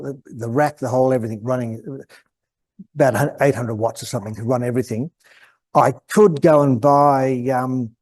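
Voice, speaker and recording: An Australian man giving an informal technical presentation, hesitant and halting as he thinks aloud. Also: medium pitch, a little mellow. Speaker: guest presenter at an amateur radio club.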